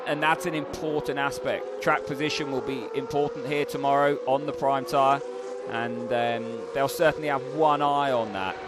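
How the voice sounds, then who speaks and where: A man's voice: mid-range voice, steady tone, moderate pace. A man as co-commentator, explaining race strategy, often restarting his sentences. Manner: explanatory, with frequent restarts and fillers. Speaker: co-commentator, expert analyst. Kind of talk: motorsport race analysis. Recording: voice over loud race-car noise.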